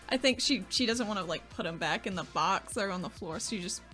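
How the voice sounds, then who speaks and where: A woman's voice: mid-range voice, animated, normal speed, sharp tone, faint music behind. A woman playing a tabletop role-playing game, chatting casually and narrating what her character does.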